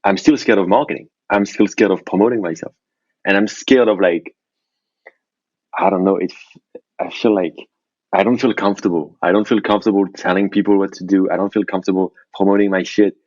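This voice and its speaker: Deep-voiced man on a dull phone call. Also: steady tone, brisk, darkish tone, no room sound. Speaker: podcast guest, indie software founder. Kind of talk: casual, candid phone conversation. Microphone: remote phone call.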